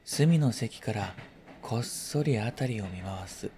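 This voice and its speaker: A man reading a first-person literary story as scripted narration, in a quiet, reflective, measured manner. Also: fairly low pitch, animated, noisy background. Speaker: story reader.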